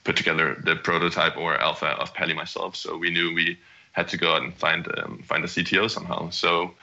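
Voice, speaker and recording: A young man from Denmark, a startup CEO, answering interview questions in a halting way and often restarting his phrases. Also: low voice, level tone, gravelly, pushed and bright, lo-fi sound.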